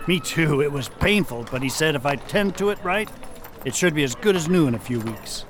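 A loyal small-town sheriff, voiced by a man in a Western radio play. His voice sits a little high and moves around a lot in pitch, plain and unassuming. A faint outdoor hum runs behind him. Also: lively intonation, fairly quick, natural voice. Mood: friendly. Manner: plainspoken, modest. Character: loyal male frontier sheriff, the marshal's old partner. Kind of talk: amateur radio drama acting.

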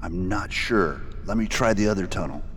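A man playing a determined US marshal in a radio drama, calm, encouraging and in charge. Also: deep voice, moderate pace. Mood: steady. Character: steady, determined male US marshal hero. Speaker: lead character. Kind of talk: amateur radio drama acting. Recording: echoey room with faint noise.

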